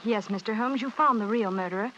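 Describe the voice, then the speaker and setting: A woman's voice: mid-range voice, fast talker, a bit bright, lightly acted. A woman playing an earnest, pleading young lady in a 1940s radio drama, polite and urgent. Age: young adult. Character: earnest, pleading young Englishwoman devoted to missing fiancé. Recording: muffled, noisy vintage radio recording.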